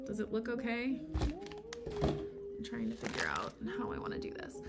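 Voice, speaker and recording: A woman's voice: on the high side, wildly swooping pitch, normal speed, very noisy. A tired woman with a Michigan accent, chatting casually and rambling as she answers viewers during a livestream. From United States. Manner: rambling, chatty, self-deprecating, answers chat questions. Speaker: livestream host. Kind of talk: casual chat with viewers during a co-working stream. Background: lofi music.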